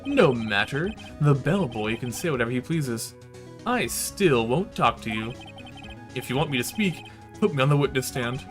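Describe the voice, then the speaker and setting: A man's voice: mid-range voice, expressive, normal speed, faint music behind, lightly acted. Man putting on a pompous, grandiose executive villain. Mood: dismissive, smug. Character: pompous, arrogant male corporate executive with mangled big words. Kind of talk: visual novel villain read aloud.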